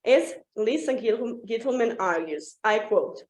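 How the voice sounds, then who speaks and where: Woman, somewhat high voice, roomy echo, scripted academic presentation, halting read-aloud delivery, frequent stumbles and restarts. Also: animated.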